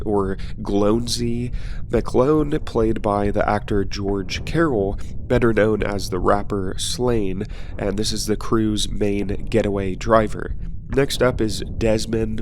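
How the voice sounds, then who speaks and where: A man with a cold speaks slowly and sounds a bit sniffly as he hosts his weapons channel. His voice sits on the low side, and his casual commentary wanders, full of ums and uhs. A faint hiss runs under him. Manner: relaxed and rambling, with frequent ums and uhs.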